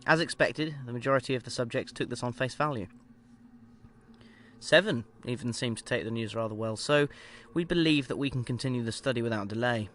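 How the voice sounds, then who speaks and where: A man acts out a research doctor dictating an audio-drama log of an unsettling experiment. His mid-pitched voice reports clinically, with conversational hesitations. There is audible noise and ambience behind him. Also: a little fast, a bit bright, natural voice. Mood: matter-of-fact, clinical. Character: male research doctor calmly logging a sinister experiment. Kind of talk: audio drama diary monologue. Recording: clear background noise and ambience.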